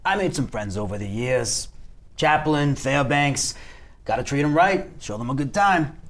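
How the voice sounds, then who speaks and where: A man's voice: medium pitch, expressive, unhurried, fairly bright tone. A man playing a bossy, blunt gangster boss in a table reading of a TV script. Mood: self-assured.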